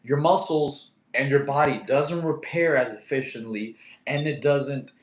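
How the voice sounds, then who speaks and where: A man's voice: medium pitch, measured pace. Laid-back man in an echoey room. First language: Russian. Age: 30s. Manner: laid-back, chatty, laughs often, talks to his cat. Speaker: livestream host. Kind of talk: casual live chat reading comments.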